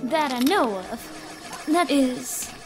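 A woman's voice: sits high, expressive, very slow, music in the background, heavily acted. A woman playing a polite, chatty, fretful theater clerk in a science fiction audio drama.

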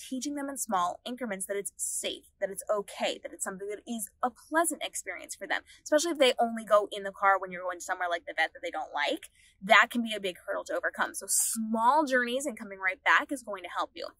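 A woman's voice: mid-range voice, fast talker, low-quality recording. A woman in her thirties giving earnest dog-training advice from the back seat of a parked car, filmed at arm's length. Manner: earnest, steady advice-giving with repeated phrasing. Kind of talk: instructional vlog talk. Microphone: phone or camera at arm's length.

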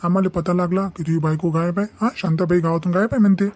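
A man's voice: sits high, fairly even intonation, racing, darkish tone, no room sound, close to an everyday voice. A man voicing a menacing, bullying cartoon kidnapper. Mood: taunting. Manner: bullying, taunting, threatening. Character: menacing village gangster kidnapper demanding ransom by phone. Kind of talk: Marathi cartoon comedy dialogue.

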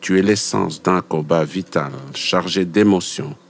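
A man reciting his own poem as solemn spoken word, in a grave, deliberate, declamatory manner. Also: deep voice, creaky. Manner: grave, deliberate, declamatory, with repeated short phrases.

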